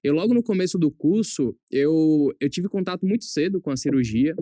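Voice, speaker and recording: A young adult man from northeastern Brazil, a surgery resident speaking as a guest in a casual podcast chat, relaxed and quick to laugh. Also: on the high side, dry recording, clean studio sound. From Brazil, born in Salvador, raised in Aracaju. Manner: relaxed, chatty, laughs easily.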